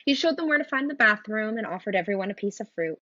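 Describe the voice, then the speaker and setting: A woman reading a children's storybook aloud in an expressive narrating style. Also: mid-range voice, fast, no echo, lo-fi sound. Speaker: storybook reader.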